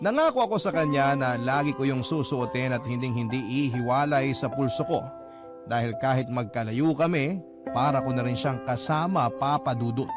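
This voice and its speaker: A man's voice: medium pitch, normal speed, over music. Earnest man narrating a love confession. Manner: earnest, confessional, polite address. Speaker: letter-sender narrator. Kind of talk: first-person radio drama narration.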